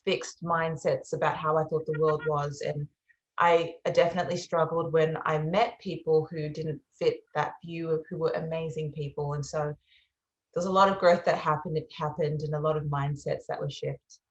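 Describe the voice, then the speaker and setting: A woman's voice: low-pitched, level tone, normal speed, echoey room, dull audio. A young Australian woman, a musician, answering interview questions in a reflective, earnest way. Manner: reflective and earnest, with soft fillers. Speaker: musician guest.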